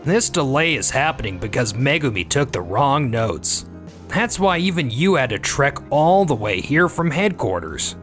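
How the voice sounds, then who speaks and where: A man voices a careless, ambitious office worker for a manga dub. He sounds self-serving and excuse-prone, with a highly expressive, mid-range voice. Music plays behind him. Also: normal speed. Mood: accusing. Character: scheming, careless male office employee, defensive and ambitious. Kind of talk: anime-style manga dub dialogue and inner monologue. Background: background music.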